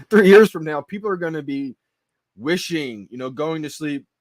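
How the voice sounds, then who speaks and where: A man's voice: fairly high pitch, animated, a little slow, no room sound. A man hosting a livestream with casual, informal commentary. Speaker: livestream host.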